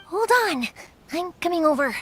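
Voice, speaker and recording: An actress playing a cheerful, caring young woman in a video game, chatty and expressive. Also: very high-pitched, normal speed, slight background noise. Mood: startled, hushed.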